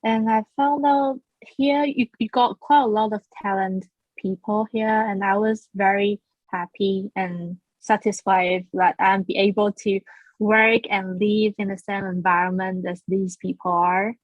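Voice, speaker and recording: A woman's voice: mid-range voice, fairly even intonation, a little slow, no echo, dull audio. A woman from Taiwan, a school founder being interviewed, talking earnestly with frequent restarts. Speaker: guest, founder of a language school. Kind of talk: casual interview.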